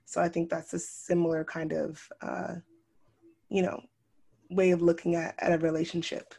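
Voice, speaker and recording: Host with a gravelly, fry-tinged voice, eager and encouraging. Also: mid-range voice, little pitch movement, normal speed, warm tone. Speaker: podcast host. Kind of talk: casual interview chat.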